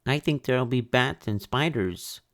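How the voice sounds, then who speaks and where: A man reading aloud, voicing an eager young boy turtle in a raised, bright storybook voice. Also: medium pitch, moderate pace, pitched above the performer's own voice, lightly acted. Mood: cheerful.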